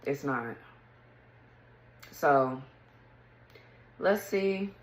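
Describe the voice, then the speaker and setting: A woman with a low, raspy morning voice talks casually through a tarot spread and often clears her throat. Her voice sounds echoey, as if she is in a bare room. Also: lively intonation, very slow. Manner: chatty, clears throat often, reads card texts aloud. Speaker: tarot reader. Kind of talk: casual tarot reading to camera.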